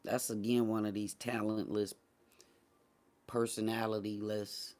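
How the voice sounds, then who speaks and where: A man's voice: lowish voice, flat delivery, a little slow, a little mellow. An American man hosting a casual livestream talk show, speaking in a blunt, slangy, dismissive way. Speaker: host of a morning talk show.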